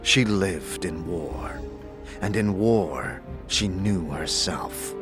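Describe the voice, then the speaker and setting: A man playing a solemn warrior-monk mentor, speaking in a grave, formal, measured way as in a fantasy audio drama. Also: deep voice, over music. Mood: solemn, reverent.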